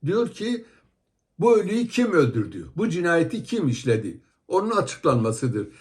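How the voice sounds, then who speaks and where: A man's voice: fairly high pitch, very animated, moderate pace, a little mellow. A man giving heated commentary that criticizes religious videos, scolding and asking rhetorical questions.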